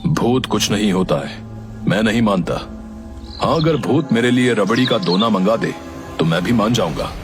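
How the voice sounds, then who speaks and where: A man voicing a grave village elder in a cartoon story, with a measured, expressive manner. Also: lowish voice, music in the background, close to an everyday voice. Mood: dismissive.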